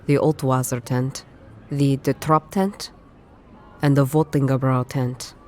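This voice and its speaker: A low-pitched female ex-detective in a noir adventure game. She is dry and guarded, with a deadpan, sardonic edge and an understated delivery. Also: slow, a little hiss or hum. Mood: musing, observant. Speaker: video game protagonist. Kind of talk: scripted visual novel voice acting.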